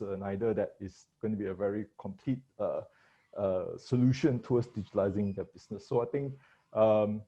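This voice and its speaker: A male forum panelist speaking hesitantly with many "uh" pauses. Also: fairly low pitch, mellow, slightly rough. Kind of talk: panel talk. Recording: dull lo-fi sound.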